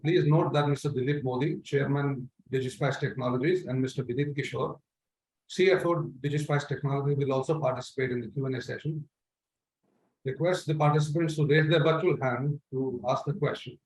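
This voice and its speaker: A man's voice: mid-range voice, steady tone, a little fast, a little mellow, rough recording. A man moderating a company conference call, making formal announcements and giving instructions to participants.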